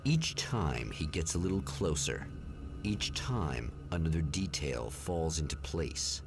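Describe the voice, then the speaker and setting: A man playing the grave, portentous narrator of an eerie anthology TV show in a game, gravelly and deliberate. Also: very deep voice, big pitch swings, full character voice. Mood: grave, ominous. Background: music.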